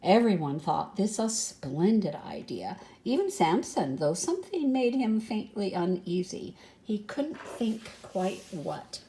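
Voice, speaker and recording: An older woman reads a children's story aloud. Her voice is soft and mellow, and she takes her time, adding expression and small conversational asides. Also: medium pitch, lively intonation, takes it slowly, hardly any pauses, poor audio. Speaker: storybook reader.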